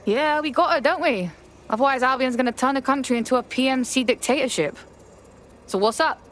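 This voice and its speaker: A woman's voice: sits high, a little fast, fairly bright tone, a little hiss or hum. A woman playing a streetwise young hacker with a London accent in a video game, slangy and blunt. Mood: eager.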